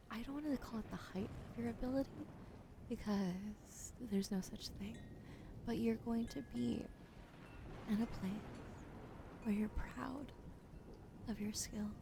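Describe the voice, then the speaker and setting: A woman livestreaming a soft-spoken ASMR card reading, often slipping into a whisper, chatty and affectionate with her viewers, close to a desk mic. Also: fairly high pitch, gravelly, noisy background. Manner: soft, gentle, partly whispered delivery. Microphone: close desk microphone.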